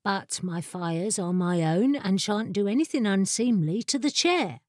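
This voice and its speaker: A woman's voice: mid-range voice, expressive, a little slow, no room sound, studio quality. A woman voicing a headstrong, inquisitive female fire creature in a storybook, pert and formally articulate. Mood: pert, reasoning.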